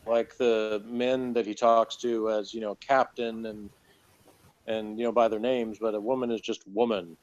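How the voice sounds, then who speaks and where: A man in a casual review discussion, arguing his point in a halting, self-correcting way. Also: on the low side, level tone, darkish tone. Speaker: podcast co-host reviewing TV episodes. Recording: dull lo-fi audio.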